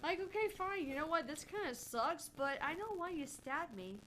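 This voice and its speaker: A woman's voice: high voice, lively intonation, moderate pace, a bit bright. A woman co-hosting a casual gaming livestream with sardonic, sweary, teasing banter, recorded with background noise. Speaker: gaming channel co-host and narrator.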